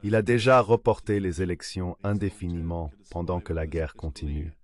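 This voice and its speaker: A synthetic male voice with a low pitch reads a translated interview. It serves as the dubbed voice of a guest, delivering the answers as scripted voice-over. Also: steady tone, moderate pace.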